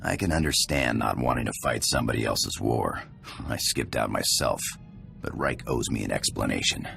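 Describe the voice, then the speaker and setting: A brooding ex-soldier rogue, guarded and curt. His extremely low, gravelly game-character voice sits over faint music. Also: normal speed, pushed and bright, full character voice. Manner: clipped, curt. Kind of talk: video game voice acting.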